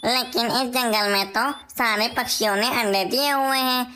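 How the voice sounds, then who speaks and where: Woman as a bright, high-voiced parrot king. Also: moderate pace, slight background noise. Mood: worried, deliberating. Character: worried, dutiful male parrot king, a father. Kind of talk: Hindi cartoon voice acting.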